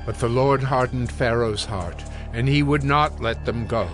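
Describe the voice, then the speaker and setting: A man narrating scripture from a script in a measured, solemn manner. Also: mid-range voice, music in the background.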